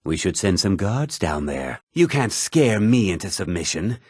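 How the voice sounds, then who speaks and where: Casting: blunt, haughty fantasy game man; raspy low voice, dry close recording. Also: big pitch swings, a little slow, no room sound, heavily acted. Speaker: video game voice actor. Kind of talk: fantasy game character dialogue.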